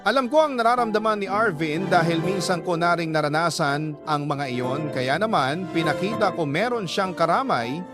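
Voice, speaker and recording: A man's voice: a little high, normal speed. A man with a Filipino accent narrating a scripted first-person love story in a reflective, dramatic manner. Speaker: storyteller, radio host. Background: background music.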